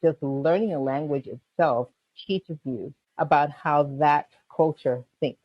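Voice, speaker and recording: A woman from Panama speaks with a slight Jamaican lilt and a husky, breathy voice. She explains things clearly and calmly in a casual interview, now and then with a light laugh. The recording is dry and a little dull, like a muffled call line. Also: low voice, unhurried, no room sound. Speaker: guest, medical interpreting executive.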